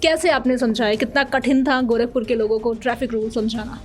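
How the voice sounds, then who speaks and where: A woman's voice: on the high side, fairly even intonation, brisk. A woman hosting and asking questions in a radio studio interview. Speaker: radio show host, interviewer.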